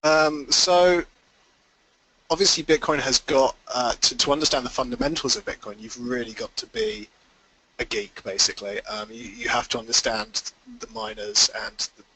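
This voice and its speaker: A man's voice: mid-range voice, bright, forceful tone, slight background noise, low-quality recording. A man giving informal, explanatory answers as an investor guest in a conference call interview.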